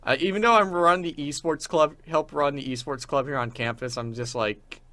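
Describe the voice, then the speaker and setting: A young adult man co-hosting a talk show, chatting casually about gaming in a halting, informal way and repeating words. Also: on the high side, fairly even intonation, bright, forceful tone, slight background noise. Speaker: college radio show co-host. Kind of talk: casual chat about video games.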